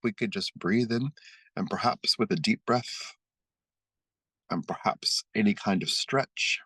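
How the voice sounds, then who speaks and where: A man leading a guided meditation and prayer, speaking slowly and soothingly as he leads spoken affirmations. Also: lowish voice, pushed and bright, breathy edge, no room sound. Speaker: spiritual meditation leader.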